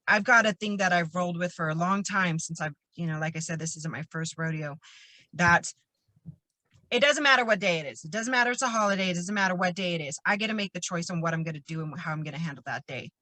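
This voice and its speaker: Bright-voiced woman hosting a motivational podcast. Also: lowish voice, fairly quick, no room sound. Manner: emphatic, repeats points for stress. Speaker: podcast host and retreat coach.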